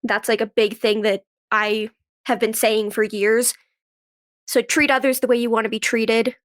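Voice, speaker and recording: A woman with a fairly high voice talks as a guest in a conversational interview. She explains things earnestly, as someone speaking from her own working experience as a performer. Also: level tone, normal speed. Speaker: podcast guest, actress and writer.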